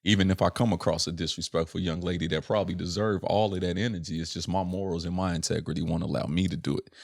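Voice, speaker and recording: A man's voice: low voice, fairly even intonation, fast talker, gravelly, no echo, clean studio sound. A young adult man from Chicago, a rapper talking as a podcast guest in an earnest, reflective way, often checking in with "right?". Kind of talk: casual reflective interview chat.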